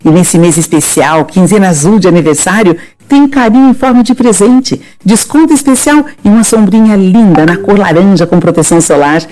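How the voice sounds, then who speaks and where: A woman's voice: mid-range voice, fairly quick, hardly any pauses, warm tone, low-quality recording. A woman announcing a scripted radio commercial in a sales tone.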